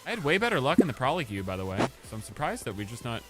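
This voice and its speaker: Excitable male streamer with lively pitch. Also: very fast, loud music behind the voice, rough recording. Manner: excitable, swings from mumbled asides to loud outbursts. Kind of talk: casual gaming livestream chat.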